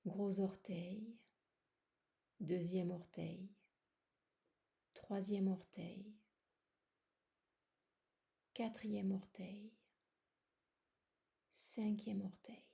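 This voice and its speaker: A woman guiding a relaxation meditation in a soothing, unhurried manner, with short phrases, long pauses and a soft voice that at times slips toward a whisper. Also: medium pitch, steady tone, vocal fry. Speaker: yoga nidra guide.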